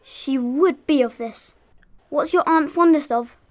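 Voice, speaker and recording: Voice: sits high, a little slow, darkish tone, pitched above the performer's own voice. A storyteller voicing a small, frightened talking monkey who pleads courteously and sadly in a children's storybook. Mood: coaxing. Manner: plaintive, courteous, imploring.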